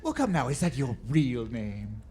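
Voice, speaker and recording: A woman's voice: rumbling low, very animated, moderate pace, mellow. A woman playing an effusive, chuckling costumed store Jack Frost in an old-time radio drama. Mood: jolly, indulgent. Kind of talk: 1940s detective radio drama acting.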